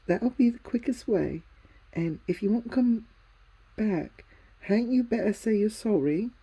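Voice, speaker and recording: A woman voicing a prim, sharp-tongued young English girl in a storybook reading, cool and sarcastic. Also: mid-range voice, expressive, unhurried, breathy edge, voice pushed up, rough recording. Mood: cold.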